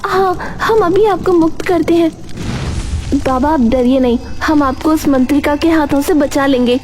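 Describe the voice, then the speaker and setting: A woman's voice: high-pitched, normal speed, darkish tone, soft background music, lightly acted. A woman doing a brave young village girl. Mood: earnest. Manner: earnest, defiant. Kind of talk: cartoon voice acting.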